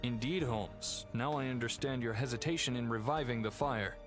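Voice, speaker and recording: An actor playing a polite, serious gentleman heir in a video game, explaining things in a courteous, earnest way. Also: mid-range voice, normal speed, over music, natural voice. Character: Sir Henry, polite, serious male heir of cursed family. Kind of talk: video game dialogue acting.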